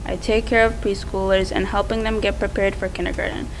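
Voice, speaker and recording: A woman's voice: mid-range voice, flat delivery, fairly quick, a little hiss or hum, rough recording. A teenage young woman reading a prepared personal speech as a student presenter. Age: teens.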